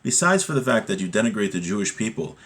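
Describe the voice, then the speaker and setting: A man's voice: lowish voice, sing-song, fast, fairly bright tone. A man giving a religious lesson as a teacher, explaining a text and often posing rhetorical questions to check understanding.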